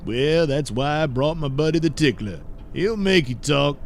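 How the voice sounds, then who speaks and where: A man's voice: fairly high pitch, lively intonation, normal speed, slight background noise. A man voicing a scheming, casual, taunting pink elephant villain in a comic audio cartoon. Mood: smug.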